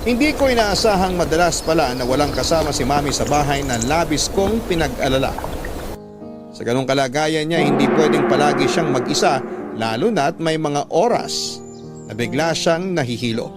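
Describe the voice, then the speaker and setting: A man's voice: a little high, animated, normal speed. A man narrating a listener's letter as a scripted, dramatic first-person story. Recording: loud music bed under the voice.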